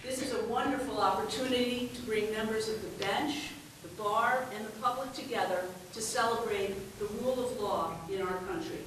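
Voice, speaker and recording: A woman acting as a judge gives a measured, formal welcome speech in a courtroom. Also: mid-range voice. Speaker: chief judge giving ceremony welcome. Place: large echoey courtroom. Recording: very echoey, distant mic, faint noise.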